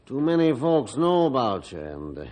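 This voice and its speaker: An actor playing a kindly, fatherly reform school principal in old-time radio style, avuncular and measured. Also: mid-range voice, big pitch swings, darkish tone, close to an everyday voice. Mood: kindly, encouraging.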